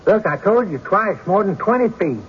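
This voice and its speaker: A man playing an evasive, defensive rustic witness in a radio courtroom drama, with a folksy, halting, stammering delivery. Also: high voice, lively intonation. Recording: dull, noisy old radio recording.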